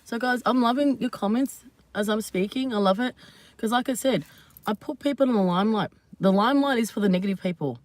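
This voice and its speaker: A woman hosting her own channel, chatting casually and at length to her viewers, slightly hoarse, rambling and laughing often. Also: fairly high pitch, brisk, dry recording. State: hoarse from screaming. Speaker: channel host. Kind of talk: casual live vlog chat to viewers.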